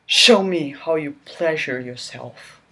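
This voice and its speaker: A woman putting on a hushed seductive man. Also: exaggerated voice, poor audio. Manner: low hushed murmur, slow and breathy. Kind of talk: mock-dramatic reading of a romance novel.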